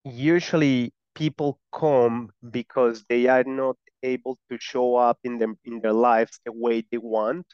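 A man's voice: mid-range voice, steady tone, measured pace, few pauses, clean tone, no echo, lo-fi sound. A man with a strong Spanish accent and Spanish as his first language, explaining earnestly as an interview guest, often repeating words as he goes. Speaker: guest, breathwork and somatic practitioner.

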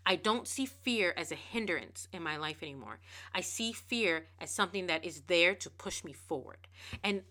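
Fast, emphatic woman with bright tone. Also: on the low side. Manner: fast, repeats words, restarts phrases; emphatic, preachy. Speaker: podcast host. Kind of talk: casual motivational podcast monologue.

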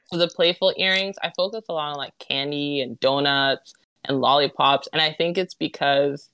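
A young woman from Southern California, a guest on a podcast, talking in a giggly, animated way. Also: deep voice, moderate pace, no echo. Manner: giggly and animated, with many fillers. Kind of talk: casual chat.

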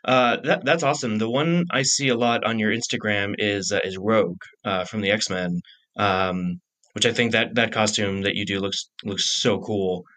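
A man in his thirties hosting a podcast, chatting casually in a halting way with frequent false starts. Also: on the low side, fairly even intonation, fairly bright tone. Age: 30s. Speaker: podcast host. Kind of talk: casual conversational interview.

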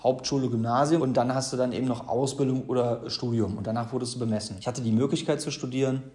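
A man's voice: medium pitch, steady tone, fast, dark tone. A man in his thirties from the Ruhr area, telling his own life story in a loose, chatty way with many fillers and restarts. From Ruhr area, Germany. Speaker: interview guest. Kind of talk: casual personal storytelling. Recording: echoey room.

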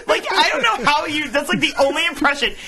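A woman co-hosting a comedy podcast, chatty and quick to react. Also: high voice, lively intonation, over a noisy crowd. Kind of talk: casual comedic podcast chat.

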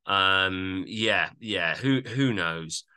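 A man's voice: deep voice, drawn-out words, bright, forceful tone, clean tone. A man co-hosting a podcast, chatting casually and agreeably as he reviews an album.

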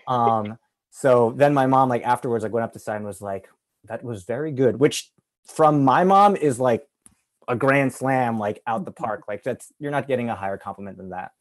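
A man's voice: medium pitch, normal speed. A man on a film panel telling a story in a loose, rambling way, filling his talk with "like". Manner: rambling, heavy use of "like". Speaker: actor on a panel. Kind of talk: casual storytelling.

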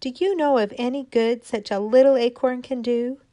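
A woman's voice: fairly high pitch, moderate pace, warm tone, no room sound, voice pushed up, lightly acted. A woman voicing a small, timid and hopeful little acorn girl in a storybook tale, with an eager, pleading manner.

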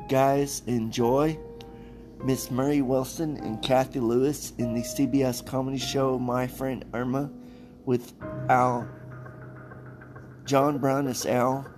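A man introducing an episode casually as a podcast host. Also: mid-range voice. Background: music.

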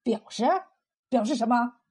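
A woman storyteller voicing a scheming, bullying village husband in a mocking, forceful manner. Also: a little high, animated, moderate pace, breathy edge. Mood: angry, sneering.

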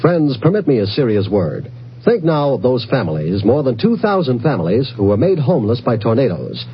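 A man's voice: medium pitch, sing-song, a little fast. A male announcer reading an earnest, solemn 1950s radio charity appeal with urgent, rhetorical phrasing. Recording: muffled old-time radio recording, faint hum.

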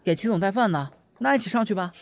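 A young male office worker played by a man chatters away in an audio drama. His voice is high and very animated. He is teasing, eager and a bit nosy. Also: fast talker. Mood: eager, insistent. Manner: chatty, playful.

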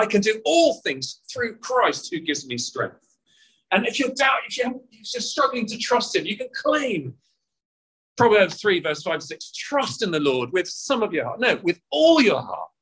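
A man in his forties preaching a lively, conversational sermon, full of rhetorical questions, at an outdoor service in a car park. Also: sits high, low-quality recording. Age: 40s.

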